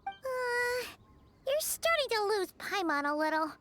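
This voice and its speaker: A woman's voice: very high voice, sing-song, unhurried, loud music behind the voice, heavily acted. A woman voicing a small, cheeky, childlike fairy companion in a video game, bubbly and cutesy. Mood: confused. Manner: bubbly, cutesy, talks of self in third person. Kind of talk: video game character acting.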